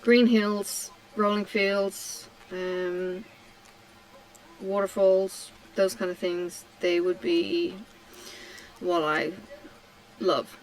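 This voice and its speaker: A middle-aged woman from Tasmania talks in a relaxed, unhurried way while she works on a craft. Her pitch sits in the middle, and she fills her answers with pauses and ums. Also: low-quality recording. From Australia (Tasmania). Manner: slow, hesitant, many ums and pauses. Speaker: craft vlogger.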